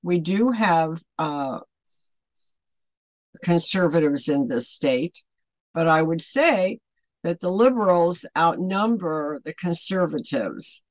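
A woman in her seventies teaching a Bible devotional to camera at home, earnest and deliberate, putting emphatic questions to the listener. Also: fairly low pitch, animated, no echo, rough recording. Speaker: online Bible study host. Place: small home room, indoors.

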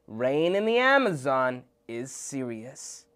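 A woman voicing a calm, caring mother on a rainforest trip, who talks in a gentle, reassuring way. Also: very deep voice, slow, pitched below the performer's own voice, exaggerated voice. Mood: cautious.

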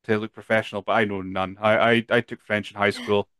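A man's voice: fairly low pitch, flat delivery, fairly quick, fairly bright tone, clear, resonant tone, no room sound. A man in his thirties hosting a podcast, asking long friendly questions with many restarts, into a studio mic at home. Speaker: podcast host. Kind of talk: casual interview. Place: home room with collectibles. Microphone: boom studio mic with headphones.

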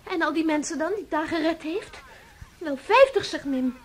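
Little girl, super high, eager and scolding, noisy old radio play. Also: lively intonation, moderate pace. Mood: chiding. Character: young foster daughter, eager, protective, chiding girl. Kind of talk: 1960s radio drama acting. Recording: noisy 1960s radio recording.

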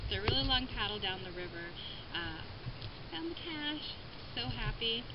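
A woman in her thirties talks to an action camera while paddling a kayak down a river, upbeat and quick to laugh. Her voice sits a touch high. Steady water and wind noise run under everything. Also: highs cut off. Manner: cheerful, laughs often. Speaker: vlogger. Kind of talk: casual outdoor vlog. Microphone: action camera held close or mounted on the kayak.

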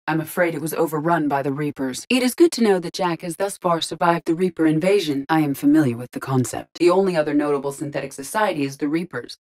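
A woman voicing a calm, curious, literal-minded female ship artificial intelligence in a video game, speaking formally and precisely. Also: sits low, natural voice. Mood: calm, analytical. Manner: measured, formal, precise diction. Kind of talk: scripted video game character dialogue.

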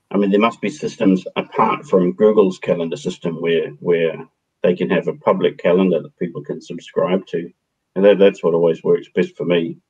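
A man in his thirties hosting a meeting over a headset on a video call, chatting in a relaxed way. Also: low-pitched, husky. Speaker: meeting host. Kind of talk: casual video call discussion. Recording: dull lo-fi call audio.

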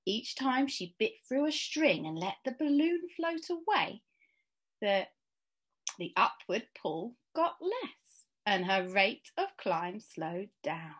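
Slow, expressive woman storyteller. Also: medium pitch. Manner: deliberate, with dramatic pauses. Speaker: school staff member reading aloud. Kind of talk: expressive children's storybook narration. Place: small indoor room at home.

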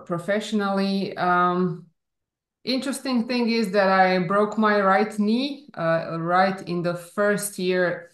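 Croatian woman, 30s, deep for her sex, thoughtful guest, roomy sound. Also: vocal fry. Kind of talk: casual interview. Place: home room with plants. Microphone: video call. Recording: roomy echo on a video call.

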